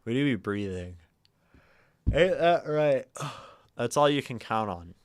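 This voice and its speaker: A man with a naturally low voice, hosting a livestream and musing softly and aimlessly with many fillers. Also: lively intonation, takes it slowly. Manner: soft, meandering, lots of fillers; prefers talking quietly and a little higher. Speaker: livestream host. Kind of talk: casual livestream chat.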